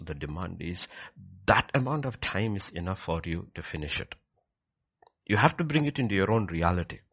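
Man, deep husky voice, sermon delivery, emphatic repetition, dramatic shifts from hushed to loud. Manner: emphatic, repeats key phrases for effect. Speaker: preacher leading a prayer service.

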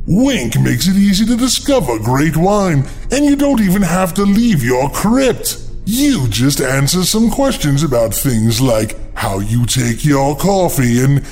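Gleeful macabre horror host, highish, unhurried, swooping delivery. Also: male voice, very animated, darkish tone, soft background music, full character voice. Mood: teasing salesmanship. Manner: theatrical, drawn-out, mock-sinister. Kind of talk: scripted horror-host narration.

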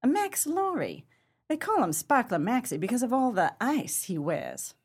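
Husky gun moll gang boss, acted by a woman, tough and proud, brassy gangster slang, lively intonation, 1930s pulp radio play. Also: on the high side, a little slow, heavily acted. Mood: bossy, scheming.

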